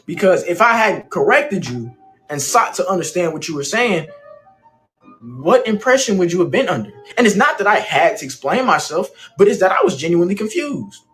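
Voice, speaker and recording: A man from the Mississippi Delta in a played social media clip, speaking in a measured, articulate, questioning way. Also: high-pitched, sing-song, a bit bright, faint music behind.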